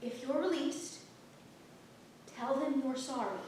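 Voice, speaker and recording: Woman playing young maidservant, high voice, tired n earnest, super echoey theatre stage reading. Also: takes it slowly, darkish tone. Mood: pleading. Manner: earnest, exasperated. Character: weary, practical, pleading young maidservant in love. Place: theatre stage, distant microphone. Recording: very echoey theatre, far-off mic, faint noise.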